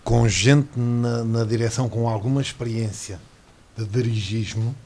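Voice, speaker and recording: A man's voice: medium pitch, fairly even intonation, measured pace, a little mellow. Insistent radio pundit man. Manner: insistent, repeats himself for emphasis. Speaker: panel commentator. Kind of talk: radio sports panel chat.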